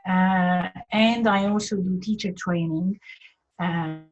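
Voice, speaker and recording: Woman, lowish pitch, measured and pausing, video-call interview guest. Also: steady tone, muffled recording, soft background music. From Hungary. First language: Hungarian. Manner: measured, pausing often to find words. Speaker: guest, English teacher and teacher trainer. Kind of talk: webinar interview self-introduction.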